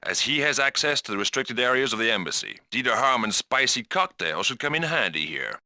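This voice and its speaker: An actor playing a brisk, businesslike spy agency handler in a video game, delivering a scripted mission briefing. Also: male voice, mid-range voice, very animated, sharp tone, full character voice.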